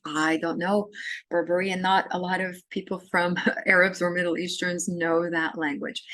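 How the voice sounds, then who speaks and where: A woman from Syria giving a recorded course lecture, talking in a halting way with many fillers and restarts. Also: lowish voice, level tone, fairly bright tone, no echo. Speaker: literature lecturer.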